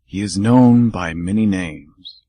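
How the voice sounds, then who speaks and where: A man co-hosting an amateur radio theatre show, reading mock-epic narration in a solemn, deliberate way. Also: deep voice, dark tone. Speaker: radio theatre co-host and performer.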